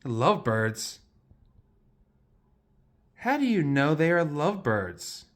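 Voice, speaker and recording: Man voicing an excitable, anxious cartoon elephant. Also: fairly high pitch, slow pace. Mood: incredulous. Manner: exclaiming, incredulous questioning.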